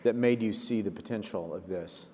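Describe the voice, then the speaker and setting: A man's voice: lowish voice, moderate pace, warm tone. A man conducting a conversational interview onstage at a live speaker event. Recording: reverberant hall.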